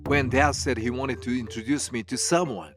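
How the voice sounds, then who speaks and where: A man's voice: medium pitch, fairly even intonation, normal speed, lightly acted. A man voicing a spoiled, rude eighteen-year-old stepson with a mocking manner in a manga dub. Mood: sneering. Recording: music bed behind the voice.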